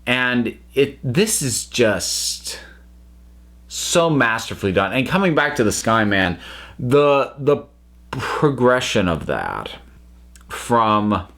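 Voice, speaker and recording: A man giving an unscripted review to viewers, speaking emphatically and haltingly as he thinks aloud. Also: medium pitch, sing-song, a bit bright. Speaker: reviewer and channel host.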